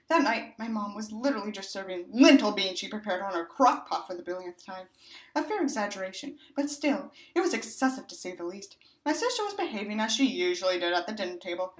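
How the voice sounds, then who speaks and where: A woman's voice: medium pitch, reverberant. A woman narrating a book expressively, with sardonic, exasperated asides as a commentator on what she reads. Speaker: audiobook reader and commentator.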